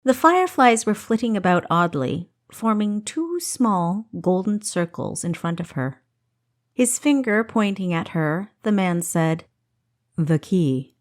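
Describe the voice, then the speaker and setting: A woman reading a fantasy story aloud as an audiobook narrator, in an expressive, measured storytelling style. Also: medium pitch.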